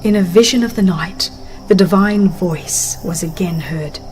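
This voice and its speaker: A woman narrating a religious book in a solemn, measured, dramatized storytelling style. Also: fairly low pitch, a little mellow, low-quality recording. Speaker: audiobook narrator. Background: background music.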